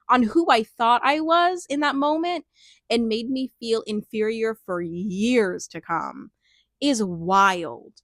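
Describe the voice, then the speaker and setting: A young adult woman speaking candidly in a reflective personal monologue, thoughtful and searching, with frequent "like" fillers and soft laughs. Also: on the high side, dry recording, clean studio sound. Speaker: personal storyteller.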